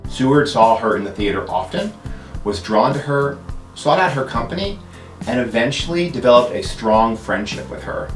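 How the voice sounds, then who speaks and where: A man's voice: medium pitch, lively intonation, normal speed, echoey room, faint music behind. A man presenting to camera as a playful, self-aware museum education host.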